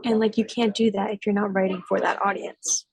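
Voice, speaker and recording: A woman's voice: mid-range voice, flat delivery, a little fast, vocal fry, darkish tone, breathy edge, dry recording. An eighteen-year-old woman talking casually in a student podcast discussion, with lots of "like" fillers. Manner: chatty, many "like" fillers.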